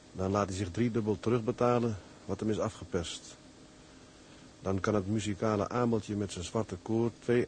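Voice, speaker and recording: A man playing a sailor narrating a letter home in an audio drama. His voice is very low, wry and fond, with clear ambient noise behind it. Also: a little mellow, natural voice.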